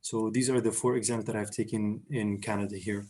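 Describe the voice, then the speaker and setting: A man's voice: fairly low pitch, little pitch movement, brisk, darkish tone. A young man from Syria, a surgery resident, answering interview questions in a hesitant, casual way, with many fillers and restarts.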